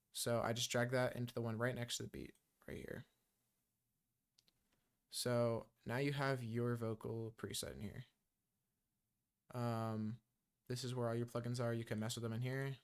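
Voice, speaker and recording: Smooth-voiced man, casual tutorial talk. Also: medium pitch. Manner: loose and chatty, many ums and uhs. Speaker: music production tutor. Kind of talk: casual screen-recorded software tutorial.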